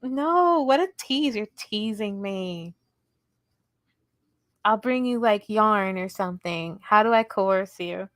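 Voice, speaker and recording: Lady chatting w her stream while crocheting, slow easy pace, laughs a lot. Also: medium pitch, animated, dry recording, clean studio sound. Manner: chatty, giggly, talks to chat while working. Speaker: crochet livestream host. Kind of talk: casual livestream crochet tutorial. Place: indoors at home table.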